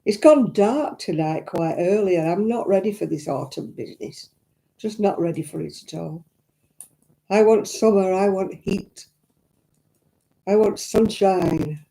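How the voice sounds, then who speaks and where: An older woman with a croaky voice, chatting and rambling to viewers while she knits on a live stream. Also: medium pitch, expressive, unhurried, dark tone. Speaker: crafting livestream host. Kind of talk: casual chat to live viewers. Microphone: own simple mic, live stream. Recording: crackly audio.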